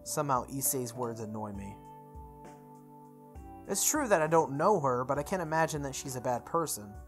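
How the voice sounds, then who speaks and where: A woman's voice: a bass voice, animated, normal speed. A woman reading a visual novel aloud as a gaming host, acting out the dialogue and sometimes stumbling and rereading. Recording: music playing behind the voice. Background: game music.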